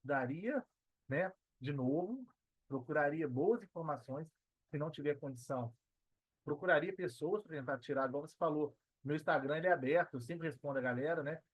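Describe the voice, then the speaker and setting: Slightly high, dry-sounding Brazilian man, reflective. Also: dry recording, rough recording. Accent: Brazilian Portuguese. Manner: halting, reflective, frequent tag questions. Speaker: podcast guest, affiliate marketer. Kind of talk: casual interview answer.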